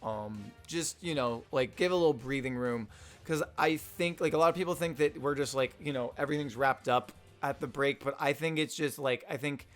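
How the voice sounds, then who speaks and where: Slightly hoarse man rambling casually. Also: mid-range voice. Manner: rambling, many fillers and restarts. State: slightly hoarse, losing his voice. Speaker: manga review vlogger. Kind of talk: casual unscripted commentary.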